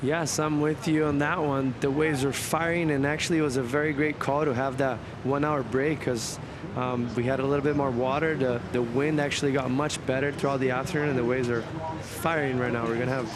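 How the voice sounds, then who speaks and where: A man's voice: medium pitch, fairly even intonation, brisk. A man commenting on a live surf contest, bantering in a friendly way. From Brazil. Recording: loud crowd and outdoor noise.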